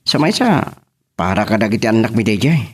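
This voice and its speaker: A man acts out an anxious husband left at home with the kids. His voice is on the low side, with big swings in pitch. He speaks hesitantly and conversationally, as in an amateur audio drama. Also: sing-song, a little fast, breathy edge. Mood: somber.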